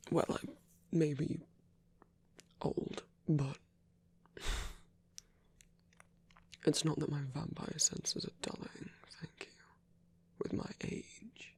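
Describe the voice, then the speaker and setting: A man playing a gentle, caring old vampire priest in an intimate ASMR roleplay, speaking in a halting, bashful, breathy whisper. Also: fairly high pitch, full character voice. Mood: bashful, dry. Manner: halting, bashful, softly reassuring.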